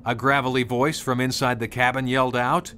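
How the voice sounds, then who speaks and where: A man's voice: mid-range voice, little pitch movement, a little fast, noisy background. A man reading scripted storybook narration as the narrator of the tale.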